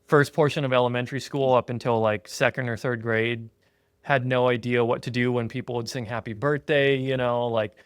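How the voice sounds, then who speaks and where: Earnest, easygoing thirties host. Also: male voice, medium pitch, fairly even intonation, a little fast, clean tone, no echo, clean studio sound. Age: 30s. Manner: easygoing, earnest, with frequent "um" and "yeah". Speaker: podcast host. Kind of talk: casual podcast chat. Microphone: remote call.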